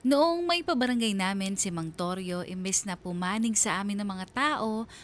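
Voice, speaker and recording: A woman's voice: fairly low pitch, a bit bright, a little hiss or hum, lightly acted. A young woman with a Filipino accent playing a wistful daughter, narrating a radio drama in a reflective, earnest way. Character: wistful daughter narrating her letter about her mother. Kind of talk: scripted first-person radio drama narration. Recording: music bed under the voice.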